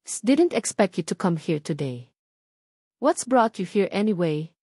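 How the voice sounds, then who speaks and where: A woman's voice: mid-range voice, big pitch swings, measured pace, mellow, husky, no echo, clean studio sound. A woman voicing a busy, reserved male company boss with polite restraint in an audiobook. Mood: polite, reluctant.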